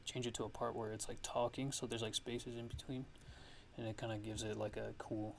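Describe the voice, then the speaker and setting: A man giving a casual, hushed ASMR-style software tutorial, explaining things in a halting, unscripted way. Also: fairly low pitch, flat delivery, creaky, slight background noise. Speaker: music production tutorial host.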